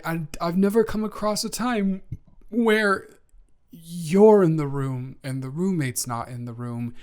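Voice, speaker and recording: A man playing a flustered, befuddled young guy in an improvised roleplay audio, stammering and rambling as he talks to the listener. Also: fairly high pitch. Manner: stammering, rambling, awkwardly backtracking.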